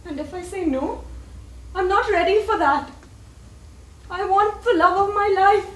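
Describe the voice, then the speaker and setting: Very high-voiced, defiant widow. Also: female voice, a little slow, fairly bright tone. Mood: passionate, defiant. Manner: defiant, pointed, dramatic. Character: proud widowed mother, defiant rival in love. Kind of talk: stage play acting. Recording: echoey, distant stage recording with faint noise.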